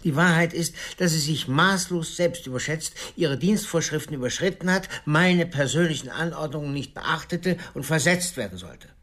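Stern, raspy prison director. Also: male voice, a little high, expressive, moderate pace, few pauses, fairly bright tone. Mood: stern, indignant. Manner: stern, officious. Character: stern, disapproving male prison director, coldly authoritative. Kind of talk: German radio drama acting.

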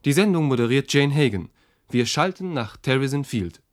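An actor playing a formal, factual announcer narrating a science broadcast in a radio play. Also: male voice, mid-range voice, animated, moderate pace, natural voice. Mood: factual, solemn. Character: male announcer introducing a future science broadcast.

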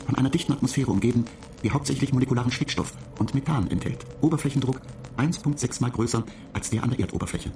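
Man doing a pedantic ship computer, gravelly, detached, terse, radio drama. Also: mid-range voice, fast talker, dark tone, slight background noise, lightly acted. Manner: dry, lecturing, terse.

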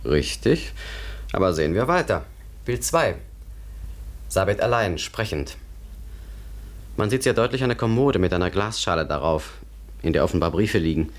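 Deep thinker guy in a radio play, low voice, deliberate, explains stuff in a contemplative way. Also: big pitch swings. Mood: matter-of-fact, probing. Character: philosophical man pondering another world, thoughtful companion. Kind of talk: literary German radio drama acting.

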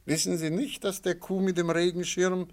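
An actor playing a shrewd amateur sleuth in a radio play, speaking in a measured, politely ironic way. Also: male voice, high-pitched, level tone. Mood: quietly triumphant.

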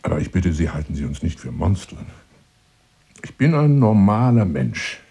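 An actor playing a pompous, lonely hunter seducing a woman in a radio drama monologue, grandiloquent and insinuating, moving between hushed and declaimed phrases. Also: low-pitched, big pitch swings, moderate pace, breathy edge, exaggerated voice. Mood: self-satisfied.

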